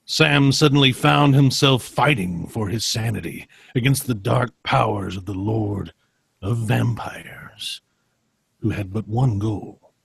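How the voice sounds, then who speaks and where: A man co-hosting a podcast, moving between self-deprecating chat and deliberate, dramatic story reading. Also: fairly low pitch, gravelly, husky, no echo. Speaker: podcast co-host.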